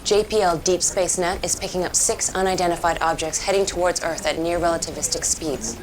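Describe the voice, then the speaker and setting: An actress as a military intelligence officer in a game cutscene. Her voice is low for a woman, urgent and businesslike. Clear ambient noise surrounds the dialogue. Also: fairly quick, natural voice, rough recording. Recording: clear room noise behind.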